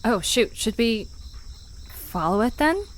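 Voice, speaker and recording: A woman plays a curious, anxious visitor in a fiction podcast. She has a fairly high voice and a questioning, easily flustered manner, set against clearly audible night insects. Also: big pitch swings, takes it slowly, a little hiss or hum. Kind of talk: fiction podcast audio drama acting.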